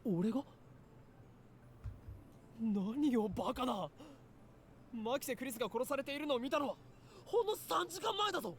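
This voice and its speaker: Theatrical, suspicious young mad scientist. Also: male voice, very high-pitched, animated, quick pace, long pauses, slight background noise. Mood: incredulous. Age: young adult. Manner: theatrical, grave. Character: dramatic, suspicious young male self-styled mad scientist. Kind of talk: Japanese visual novel voice acting.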